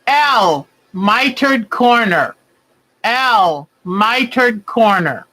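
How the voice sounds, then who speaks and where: Slow, bright-voiced woman calling bingo. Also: fairly high pitch, lively intonation, rough recording. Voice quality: big voice. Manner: calls each clue twice, slow and deliberate. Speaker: host calling a quilting bingo game. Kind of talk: livestream game calling.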